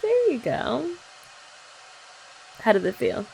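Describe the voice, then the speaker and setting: A woman's voice: a little high, wildly swooping pitch, takes it slowly, creaky, a little mellow, slight background noise, poor audio. A young woman doing a chatty ASMR girlfriend roleplay, explaining each step and teasing her listener. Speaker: ASMR creator.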